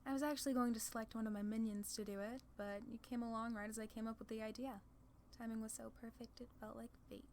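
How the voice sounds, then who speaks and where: A woman's voice: on the high side, flat delivery, fast, a little mellow, slight background noise, close to an everyday voice. A woman voicing a playful, proud demon ruler of hell in a casual, sardonic ASMR roleplay. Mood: pleased, gracious. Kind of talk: ASMR roleplay audio drama.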